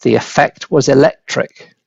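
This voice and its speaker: Gravelly man reading a children's story. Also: medium pitch, steady tone, measured pace, no echo, highs cut off. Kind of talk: expressive scripted narration.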